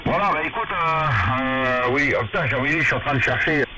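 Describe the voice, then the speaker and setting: A man's voice: fairly high pitch, fast talker. A man speaking as an amateur radio operator, chatting informally over a two-way radio with hesitant, repetitive phrasing. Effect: two-way radio transmission. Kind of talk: casual radio chat. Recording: very noisy two-way radio reception.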